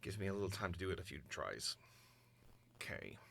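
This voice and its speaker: A man's voice: low voice, very animated, brisk, vocal fry, a bit bright, a little hiss or hum. A man chatting casually and thinking aloud as an amateur voice actor in a recording booth.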